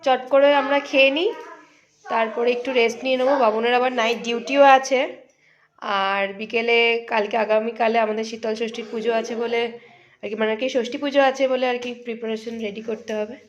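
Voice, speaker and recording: High-voiced woman vlogger, lo-fi sound. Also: fairly even intonation, normal speed. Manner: chatty, informal, mixes in English greetings. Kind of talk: casual family vlog narration, chatting to viewers. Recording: dull lo-fi home video, faint noise.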